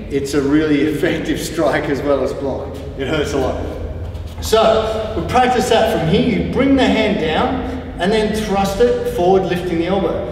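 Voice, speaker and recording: A man's voice: high voice, a little mellow, a little hiss or hum. A middle-aged man teaching a kung fu block, talking while he demonstrates moves in a large gym. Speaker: kung fu instructor. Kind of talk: martial arts demonstration lesson. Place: large martial arts gym, indoors. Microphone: distant camera mic. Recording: echoey hall from far off.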